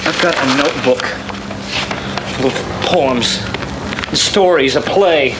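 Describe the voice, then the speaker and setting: An actor playing a frustrated, bitter writer in a radio-play drama, cynical and declamatory, on a lo-fi analog recording. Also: male voice, medium pitch, slow, close to an everyday voice. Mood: indignant. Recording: very noisy lo-fi analog film transfer.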